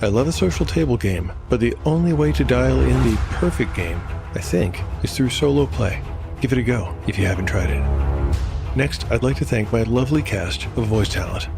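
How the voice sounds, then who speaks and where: A man narrating from a script as host, in a measured storytelling manner. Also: medium pitch, lively intonation, vocal fry, over music.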